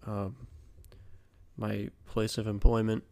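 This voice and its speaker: A man's voice: on the low side, level tone, slow pace, creaky, mellow. A young man co-hosting a podcast in casual chat, with a stuffy, congested nose and a laid-back, joking way of talking. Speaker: podcast co-host.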